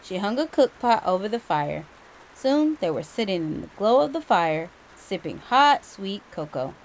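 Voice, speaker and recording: A woman reading a children's story aloud with expressive, dramatic emphasis. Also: medium pitch, normal speed, a little hiss or hum, poor audio. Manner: animated, dramatic emphasis for young listeners.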